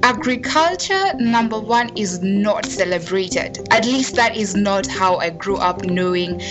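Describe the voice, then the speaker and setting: A woman's voice: mid-range voice, lively intonation, unhurried. A young woman from Kenya with a Kenyan accent, telling her own story in an earnest, conversational way that is full of "you know". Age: young adult. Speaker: interviewee. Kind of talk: casual personal storytelling. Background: background music.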